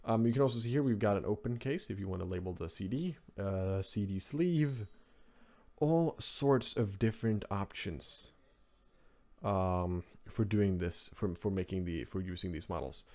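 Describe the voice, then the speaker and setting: Male tutorial host from Norway, non-native speaker, lowish voice, informal and rambling screen-recording narration. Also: moderate pace, mellow. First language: Norwegian. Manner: rambling, offhand, informal asides. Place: indoors at a computer, screen recording voice-over.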